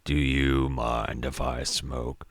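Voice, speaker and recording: A man's voice: very deep voice, flat delivery, slow, vocal fry, breathy edge, no room sound, heavily acted. A man voicing an irritable, menacing mob enforcer with sardonic, threatening tough-guy talk in a story reading. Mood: sardonic, curt.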